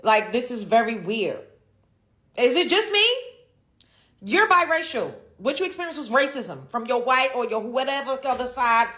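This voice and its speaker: Female commentary host, bright and slightly high, emphatic and sassy, speaking off the cuff with strong opinions. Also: lively intonation. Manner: emphatic, sassy, drawn-out stressed words. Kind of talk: casual opinionated reaction commentary.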